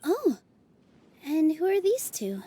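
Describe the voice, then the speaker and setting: A cheerful, eager young dancer chatters in a bubbly, high voice. Her tone stays warm and mellow. Game music runs faintly underneath. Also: slow, heavily acted. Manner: bubbly, animated. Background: game soundtrack music.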